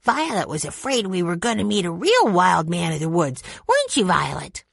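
A woman voicing an excitable young boy with a bouncy delivery. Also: lowish voice, moderate pace, creaky, slightly rough, no echo, studio quality, heavily acted. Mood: eager. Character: eager, hungry little boy.

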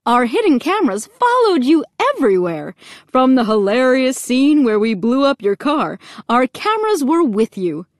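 A cheery, gushing female monster emcee from a spooky children's story, acted out by a woman narrator. The voice is high and swoops widely, with showy announcer patter and a dry, close sound. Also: very animated, moderate pace, no echo.

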